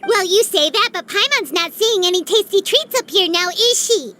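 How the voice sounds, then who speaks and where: A woman voicing a tiny, excitable female companion sprite who talks about herself in the third person, in a game cutscene. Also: extremely high pitch, normal speed, slight background noise, exaggerated voice. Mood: teasing. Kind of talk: video game cutscene dialogue. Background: faint game music.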